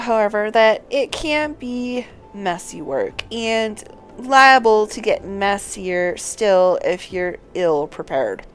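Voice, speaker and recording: A woman reading out an earnest male sellsword recruiter from a fantasy game, with formal, measured, cautioning delivery. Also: medium pitch, slight background noise.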